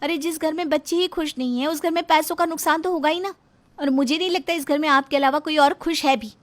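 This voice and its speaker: A woman's voice: high voice, fast, pitched above the performer's own voice, natural voice. A woman voicing a bold, outspoken young daughter-in-law who argues indignantly in a moral story. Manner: indignant, argumentative. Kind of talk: acted dialogue in a moral story.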